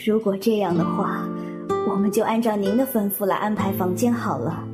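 A woman's voice: medium pitch, normal speed, close to an everyday voice. A woman playing a courteous, attentive inn hostess in a Chinese audio drama, with formal, deferential speech. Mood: polite, welcoming. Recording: guitar music under the voice.

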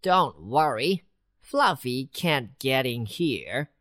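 A woman voicing a small, lively city mouse in a children's storybook, very high and squeaky. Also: takes it slowly, heavily acted. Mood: coaxing. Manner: very high, excited, bossy whisper-to-squeal.

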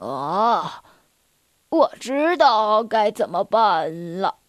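A woman's voice: mid-range voice, very animated, extremely slow, no room sound, clean studio sound, pitched below the performer's own voice. A woman voicing a drawling old bear. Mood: slow, sly. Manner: drawling, mumbling, pretends to mishear. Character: old deaf male circus bear, slow and sly. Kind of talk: storybook reading.

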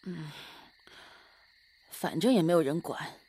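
A woman playing a moody young gentleman official in a Chinese period radio drama, grumbling and imperious. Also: low-pitched, takes it slowly, slightly rough, exaggerated voice. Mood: gloomy, grumbling.

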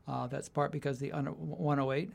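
A man's voice: fairly high pitch, steady tone, normal speed, vocal fry. A man from the United States, a university writing instructor, giving thoughtful interview answers that often restart mid-sentence and break into light laughs.

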